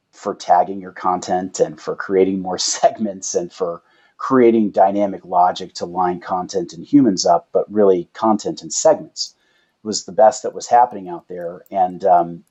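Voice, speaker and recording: A man's voice: lowish voice, a little mellow, husky, dull audio. A man answering as a startup founder in an interview, explaining at length and punctuating points with rhetorical questions. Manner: uses rhetorical questions and tag "right?". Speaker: startup founder and chief executive, guest.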